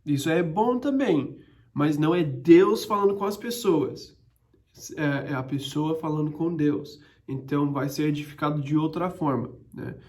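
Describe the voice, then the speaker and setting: A man's voice: a little high, unhurried, darkish tone. A young man speaking Portuguese, teaching a casual Bible study with many hesitations and restarts. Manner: hesitant, frequent restarts and fillers.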